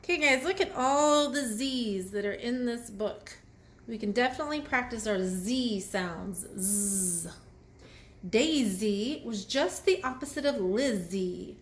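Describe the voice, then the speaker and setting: Woman children's read-aloud host, unhurried and very expressive, addressing kids directly. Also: a little high. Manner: addresses young children directly with questions and commentary. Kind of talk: picture book read aloud with phonics asides.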